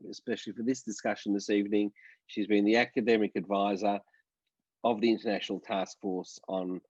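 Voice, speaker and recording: A man's voice: lowish voice, darkish tone. A man hosting an online panel, polite and hesitant, with many ums and restarts. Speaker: host, moderator. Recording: dry, dull lo-fi sound.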